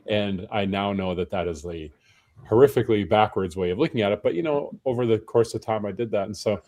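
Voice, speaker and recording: A tired, hoarse man speaking as a panel guest, chatting in a friendly, self-deprecating way with frequent restarts. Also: lowish voice, a little fast, warm tone. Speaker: panel guest, personal trainer. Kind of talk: casual panel conversation.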